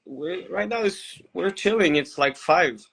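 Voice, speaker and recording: A man in his twenties from Montreal with a Quebec French accent, whose first language is French. He is a podcast guest who speaks English haltingly, with many hesitations. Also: high-pitched, lively intonation, creaky, very noisy. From Montreal, Canada. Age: 20s. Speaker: guest, MMA fighter. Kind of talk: casual podcast interview.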